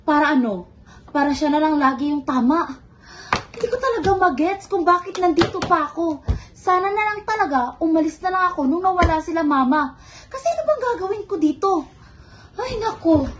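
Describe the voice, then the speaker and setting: A woman's voice: very high voice, lively intonation, a little fast. A Filipino woman playing a rebellious student, the younger sister in a stage drama, with a theatrical, exasperated, sarcastic delivery. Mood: bitter, exasperated. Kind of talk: amateur one-act stage play acting in Filipino. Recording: faint background noise.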